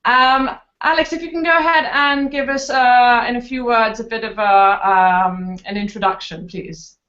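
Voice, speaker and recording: A woman's voice: on the high side, fairly even intonation, normal speed, a bit bright. A woman moderating a school video chat, polite and a little hesitant with fillers as she introduces people. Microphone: video call. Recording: dull lo-fi video call audio.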